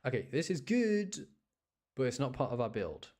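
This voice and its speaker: A man's voice: medium pitch, sing-song, moderate pace, a little mellow. A man live streaming a video game, chatting casually with viewers as he commentates on his play. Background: music.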